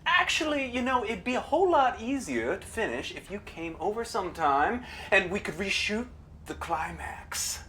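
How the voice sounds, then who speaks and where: A woman's voice: medium pitch, sing-song, moderate pace, fairly bright tone. A woman playing a creepy, pushy male amateur filmmaker in an audio drama, over-familiar and wheedling. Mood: smarmy, wheedling. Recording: noticeable background noise and ambience.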